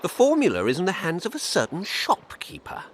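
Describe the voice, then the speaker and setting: An actor playing a sly, cautious schemer in a video game, polite and calculating in a theatrical way. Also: male voice, sits high, wildly swooping pitch, fairly quick, a little hiss or hum. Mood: persuasive. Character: sly, cautious male schemer after a secret formula.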